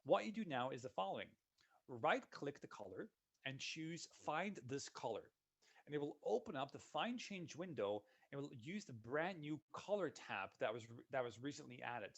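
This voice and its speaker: A man, a solutions consultant giving a relaxed, conversational walkthrough of software in a live webinar. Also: mid-range voice, normal speed. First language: Dutch. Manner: relaxed, conversational walkthrough narrating each click.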